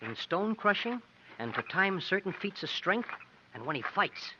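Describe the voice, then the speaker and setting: A loyal, eager lad in a golden-age radio play. He speaks simply and politely in a fairly high male voice, full of earnest admiration. The broadcast is muffled with age. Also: sing-song, normal speed, noisy background. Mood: eager, admiring. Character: earnest, eager boy, loyal sidekick to a showman. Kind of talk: 1950s radio comedy-drama acting. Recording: dull old radio broadcast.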